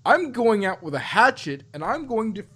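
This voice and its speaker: A man's voice: high voice, very animated, moderate pace, fairly bright tone, breathy edge. A man podcast host in casual, joking banter, rambling through stories as he goes. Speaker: podcast host reviewing audio drama.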